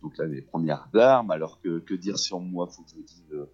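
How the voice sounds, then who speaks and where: A middle-aged man from France speaks in a very deep, low voice, stumbling through his answers with frequent fillers and false starts. The recording sounds dull and lo-fi, like an old radio capture. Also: moderate pace, darkish tone. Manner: hesitant, many fillers and restarts. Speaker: guest, actor and theatre teacher. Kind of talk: casual radio interview.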